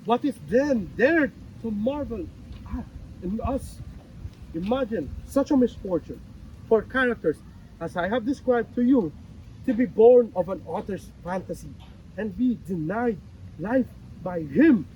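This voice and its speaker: Man acting anguished high-voiced philosophizing stage character. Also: expressive, dark tone, slight background noise, low-quality recording. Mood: pleading, accusing. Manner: declamatory, deliberate with dramatic pauses. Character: anguished, philosophizing male character pleading with a gentleman. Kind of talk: staged dramatic reading of a play.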